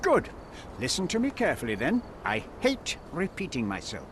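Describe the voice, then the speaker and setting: A man's voice: on the high side, huge pitch swings, moderate pace, a bit bright, a little hiss or hum. An actor playing a stern, proud male archaeology professor who lectures and commands in a video game. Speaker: dig site leader.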